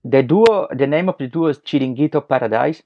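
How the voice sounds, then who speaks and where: Male podcast guest, Chilean Spanish accent, mid-range voice, halting casual delivery, slightly dull recording. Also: mid-range voice, expressive, a little hiss or hum. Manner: halting, searching for words. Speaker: podcast guest, circus clown and comic actor. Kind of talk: casual interview talk. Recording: dull lo-fi audio.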